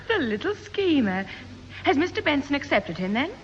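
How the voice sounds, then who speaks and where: An actress playing an exasperated, teasing older sister in a 1960s radio sitcom. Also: female voice, high voice, sing-song, moderate pace, a little hiss or hum. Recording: muffled 1960s radio recording, hall, audience.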